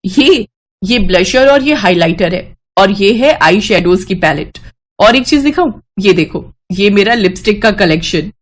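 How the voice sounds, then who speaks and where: A woman's voice: medium pitch, animated, measured pace. A woman voicing a friendly, obliging young newlywed wife with a breezy manner in a cartoon story. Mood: showing off.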